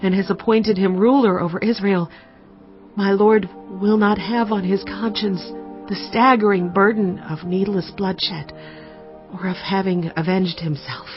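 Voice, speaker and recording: An actress playing a humble, wise noblewoman in a dramatized scripture reading, speaking with earnest, reverent, measured phrasing. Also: medium pitch, steady tone, muffled recording, faint music behind, close to an everyday voice. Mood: earnest pleading.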